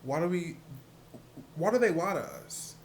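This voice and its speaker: Soft-voiced man who stutters. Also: on the high side, sing-song, gravelly, slight background noise. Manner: stuttering, halting with many repeated words and fillers. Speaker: vlogger. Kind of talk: casual personal vlog monologue.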